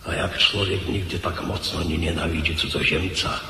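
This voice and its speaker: An actor playing a proud, grave military commander in a radio drama, speaking in solemn, oratorical declamation. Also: male voice, sits low, steady tone, normal speed, sharp tone. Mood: proud reproach. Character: proud, grave Polish commander, sorrowful and loyal. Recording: echoey hall effect with faint noise.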